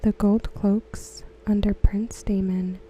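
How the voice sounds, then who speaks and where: A woman speaks softly in an ASMR style, reading in a breathy near-whisper with a very dark, relaxed tone. Her delivery is slow, gentle and soothing, close to the mic. A faint background noise sits behind her voice. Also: medium pitch. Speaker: ASMR host. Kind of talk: soft-spoken ASMR reading.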